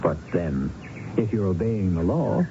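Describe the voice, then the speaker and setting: An American man hosting and narrating a radio mystery drama in a measured, deliberate, theatrical way. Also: sits low, animated, highs cut off, heavy background noise. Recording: band-limited old radio broadcast, music behind. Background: loud music.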